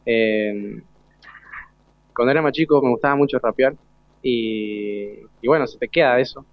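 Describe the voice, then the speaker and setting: A man's voice: mid-range voice, measured pace, no echo. A young male student in his teens with an Argentine accent, chatting casually and modestly in colloquial speech as he is interviewed. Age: teenager. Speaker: student delegate being interviewed. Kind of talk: casual chat. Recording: dull, lo-fi radio sound.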